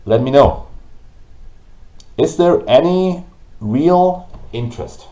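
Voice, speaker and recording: A man with a German accent, whose first language is German, hosting a gaming channel and ranting slowly and haltingly about it to camera. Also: a little high, expressive, mellow. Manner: halting, with restarts and pauses. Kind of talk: frustrated rant to camera. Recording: roomy, dull lo-fi audio.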